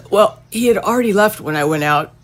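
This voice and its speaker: An actress playing a gentle, evasive, ailing mother in an audio drama, soothing and hesitant. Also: mid-range voice, animated, moderate pace, natural voice. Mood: gentle, reassuring. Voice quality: sickly, frequent coughing and throat clearing.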